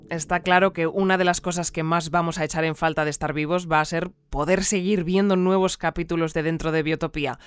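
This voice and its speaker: A woman's voice: on the low side, smooth, no room sound, studio quality, close to an everyday voice. A woman playing an earnest, formal news bulletin presenter in a sci-fi audio drama. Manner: formal, composed announcer delivery. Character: earnest female newsreader of an absurd sci-fi town. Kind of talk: scripted audio fiction newscast.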